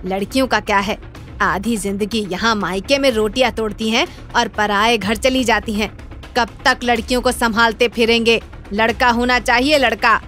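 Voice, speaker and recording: A woman's voice: fairly high pitch, steady tone, moderate pace, fairly bright tone, soft background music, natural voice. A woman voicing a harsh, taunting middle-aged mother-in-law who scolds and commands in a moral story. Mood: stern, dismissive.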